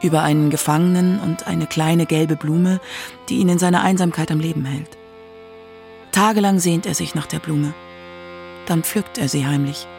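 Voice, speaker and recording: A narrator reading a scripted radio documentary in a measured literary manner. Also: medium pitch, fairly even intonation, gravelly, a little mellow, soft background music.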